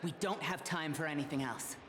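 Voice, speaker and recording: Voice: medium pitch, fairly quick, music in the background, lightly acted. A performer voicing a defensive, dutiful surveillance officer in a video game. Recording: echoey, clear background noise.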